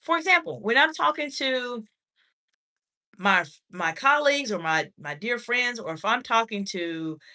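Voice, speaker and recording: A woman's voice: a little high, expressive, measured pace, sharp tone. A woman executive communication coach from the United States, talking on a livestream in a formal, encouraging way and greeting viewers by name as she reads their comments. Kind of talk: livestream talk, reading chat comments.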